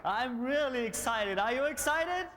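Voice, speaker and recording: A man's voice: very high voice, animated, a little slow, a loud crowd, very noisy. German man speaking on a big stage. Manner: enthusiastic, slightly nonstandard English. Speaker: motivational speaker at a sales event. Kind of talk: stage speech. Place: large event hall on stage.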